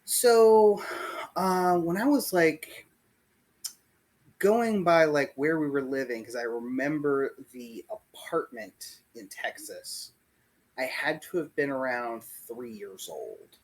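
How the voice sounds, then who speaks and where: A man in his forties, born in Puerto Rico and raised in the US South, recalls his life in a casual video-call interview, pausing often and thinking aloud. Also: on the high side, rough recording. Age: 40s. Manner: slow, reflective, pauses often with "um" and "like". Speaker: interview guest. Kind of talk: casual oral history interview.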